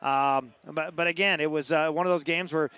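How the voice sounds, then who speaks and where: Male radio sports host, post-game interview. Crisp, dry sound, wordy and halting delivery. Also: a little high, steady tone, fairly bright tone, dry recording.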